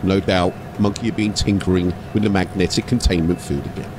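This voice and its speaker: A man's voice: deep voice, fairly even intonation, fairly quick, noisy background. A man narrating from a script as an audiobook storyteller and host.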